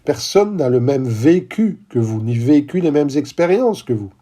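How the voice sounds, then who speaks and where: A man's voice: on the high side, sing-song, moderate pace, warm tone. A middle-aged man hosting a podcast monologue as a coach, talking straight to listeners, emphatic and repeating key phrases. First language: not English. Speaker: podcast host and business coach.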